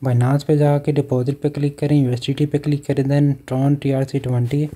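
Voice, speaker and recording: A man's voice: mid-range voice, level tone, moderate pace, dark tone, husky. A man, talking through an app step by step as a voice-over for a phone screen recording. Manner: instructional, repeats step-by-step directions. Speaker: online earning channel host.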